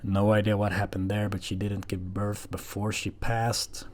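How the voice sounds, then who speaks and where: A man with a low voice talks off the cuff, as if keeping a spoken diary. His delivery is casual and halting, wandering from thought to thought in plain, sometimes sweary language. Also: completely flat delivery. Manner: hesitant, halting, frequently swearing. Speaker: audio log vlogger. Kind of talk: casual rambling personal audio log.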